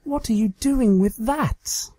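Casting: high-voiced female pony magician, played by a man, theatrical, breathy and pleading, for storybook narration. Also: slow, mellow, no room sound, full character voice. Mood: feigned alarm.

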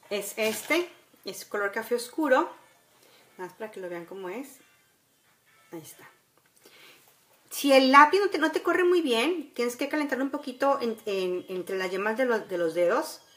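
A woman's voice: a little high, expressive, moderate pace, long pauses, a bit bright, faint music behind. A woman in her forties with a Mexican accent, chattily talking viewers through a casual makeup tutorial at home. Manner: instructional, chatty, with frequent checking tags. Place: room at home by a window, indoors.

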